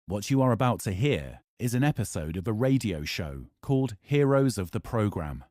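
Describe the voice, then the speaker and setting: A man's voice: on the low side, very animated, moderate pace, dark tone, no echo, clean studio sound. A man narrating a scripted introduction to a radio drama podcast.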